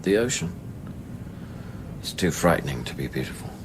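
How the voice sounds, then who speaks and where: Very deep-voiced, brooding scientist. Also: male voice, very animated, moderate pace, noisy background. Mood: haunted, reflective. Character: brooding, haunted man of science, tender yet irritable. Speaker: lead actor and first-person narrator. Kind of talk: radio play, intimate literary narration and dialogue.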